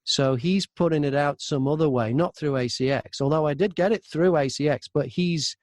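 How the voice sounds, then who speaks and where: A man's voice: a little high, moderate pace, darkish tone, dry recording, clean studio sound. A man chatting casually as an interview guest, an audiobook narrator who tells stories by acting out both sides of exchanges. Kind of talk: casual anecdotal interview chat.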